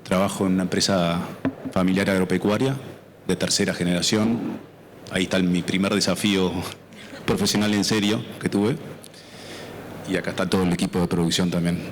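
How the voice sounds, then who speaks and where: An Argentine man speaks on a conference panel in a somewhat low voice. He explains in an orderly, step-by-step way. Faint noise sits under the recording. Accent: Argentine Spanish. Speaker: panelist, farm business member. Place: conference auditorium.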